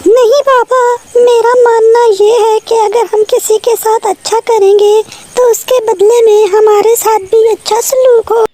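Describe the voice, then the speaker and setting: A woman's voice: very high voice, steady tone, a little slow, mellow. A woman voicing a kind young female sparrow in a cartoon, earnest and pleading.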